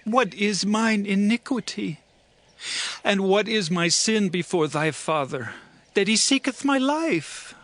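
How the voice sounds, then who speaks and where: An anguished young fugitive in a biblical drama. His high voice swings widely in pitch and moves slowly, pleading innocence in emotive archaic words. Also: expressive, a bit bright, heavily acted. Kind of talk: dramatized scripture acting.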